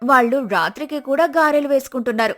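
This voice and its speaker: Woman storyteller reading a folk tale, steady, bit high. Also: quick pace, soft background music. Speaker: narrator. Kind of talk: scripted storybook narration.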